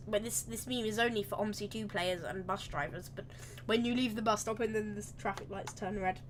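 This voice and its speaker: A woman with a slightly croaky voice, rambling chattily through live gameplay commentary and correcting herself as she goes. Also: medium pitch, bright, forceful tone, slight background noise. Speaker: game streamer.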